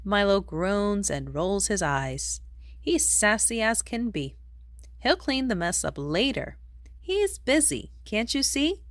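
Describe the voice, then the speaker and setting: A woman narrates a rhyming picture book for young children. Her delivery is unhurried and expressive, with pitch that swings widely, and the recording is dry with very little room sound. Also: no room sound. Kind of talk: read-aloud storybook narration in rhyme.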